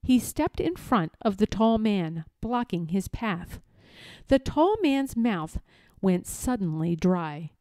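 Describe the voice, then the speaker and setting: Slow-paced woman reading a storybook. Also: mid-range voice, animated, warm tone, no echo. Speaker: storybook reader. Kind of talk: dramatic children's read-aloud.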